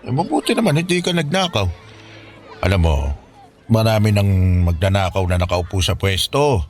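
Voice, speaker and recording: A man's voice: on the low side, very animated, normal speed, soft background music. A man with a Filipino accent playing a kindly, preachy older bachelor from the slums, a fatherly guardian in a radio drama. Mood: earnest, admonishing. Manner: fatherly, admonishing, colloquial.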